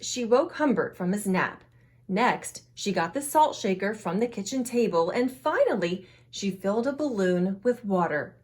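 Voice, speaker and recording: A woman reading a children's picture book aloud in an expressive, animated way, acting out the dialogue for young listeners. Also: a little high. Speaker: children's storytime host and reader.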